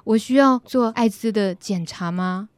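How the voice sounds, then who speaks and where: A woman's voice: mid-range voice, a little slow, over loud music, natural voice. A woman voicing a worried young shaved-ice seller in a radio skit, with a Taiwanese Mandarin accent, confiding anxiously. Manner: anxious, confiding first-person storytelling.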